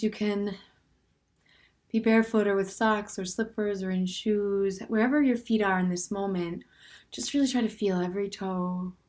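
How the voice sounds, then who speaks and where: A woman leading a guided standing meditation in a gentle, unhurried way, with soft, breathy phrase endings and plenty of pauses. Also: lowish voice. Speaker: meditation teacher.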